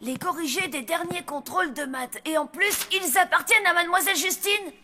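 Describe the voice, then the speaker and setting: A woman's voice: very high-pitched, a little fast, noisy background. A woman voicing a self-assured, bossy child detective who talks in formal, theatrical deductions in a children's cartoon. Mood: smug, investigating. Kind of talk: animated children's series dialogue.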